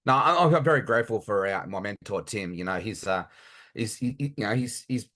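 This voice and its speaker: An Australian man in his thirties with a very deep, low voice. He talks earnestly and haltingly, pausing often on fillers as he answers as a guest. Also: expressive, bright, forceful tone. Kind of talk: casual interview chat.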